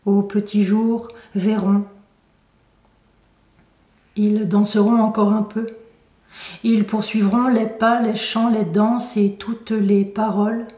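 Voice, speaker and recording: A woman poet reading her own poetry aloud in measured, deliberate phrases with pauses. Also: medium pitch, little pitch movement, mellow. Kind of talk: poetry recitation. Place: indoor room at a poetry venue. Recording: roomy echo, recorded from a distance.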